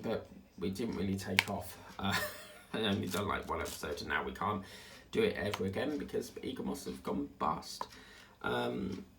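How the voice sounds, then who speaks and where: A man's voice: lowish voice, creaky, bright, forceful tone, slight background noise. A man with an Essex accent tinged with South African, chatting in a rambling way about his day as he works at his craft table. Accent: Essex English with a South African tinge. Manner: chatty, digressive, hums and sings to himself. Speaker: crafting channel host. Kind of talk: rambling casual chat while working.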